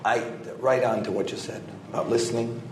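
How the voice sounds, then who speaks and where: A man with a raspy voice, hoarse from a cold, speaking as a scholar on a conference panel. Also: medium pitch, flat delivery, brisk. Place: hotel conference room. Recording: echoey room, audible background noise.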